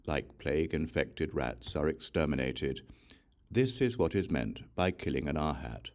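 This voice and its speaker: A serene, authoritative Buddha read by a man instructs a disciple in an audiobook scripture. The voice is very deep, with broad swings in pitch, and the teaching comes out solemn and deliberate. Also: a little mellow, heavily acted.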